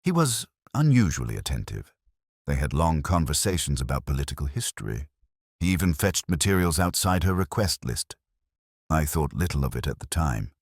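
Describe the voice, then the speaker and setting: A man's voice: a bass voice, very animated, normal speed, husky, dry recording, studio quality, exaggerated voice. A man voicing an earnest, genteel Victorian woman in an audiobook, with a polite, reserved and formal manner. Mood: reserved, recollecting. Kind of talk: audiobook character dialogue.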